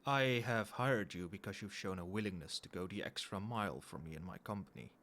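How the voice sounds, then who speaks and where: A man playing a cold, professional company boss in an ASMR roleplay, speaking in a formal, measured, quietly demanding way. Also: low voice, a little hiss or hum. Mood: firm, businesslike. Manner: formal, measured, businesslike, faintly suggestive. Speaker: voice actor in an audio roleplay. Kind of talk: ASMR roleplay, one-sided dialogue to the listener.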